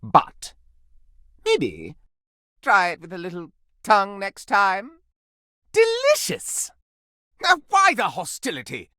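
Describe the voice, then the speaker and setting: A man's voice: high voice, wildly swooping pitch, slow pace, long pauses, sharp tone, dry recording, clean studio sound, exaggerated voice. A man voicing a flamboyant, lustful living candelabra in a video game, with florid, pompous, innuendo-laden diction and theatrical melodrama. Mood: coy, sultry, then dramatic pleading.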